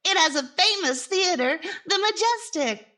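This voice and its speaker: A woman's voice: extremely high pitch, expressive, measured pace, background noise. A woman playing a cheerful, naive, chirpy sidekick in the style of 1940s radio comedy.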